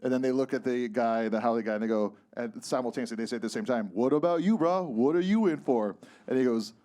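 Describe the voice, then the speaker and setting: A man's voice: medium pitch, quick pace, warm tone. A man from Hawaii, a comedian guest on a live stage, telling a chatty anecdote between laughs. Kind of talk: casual stage banter.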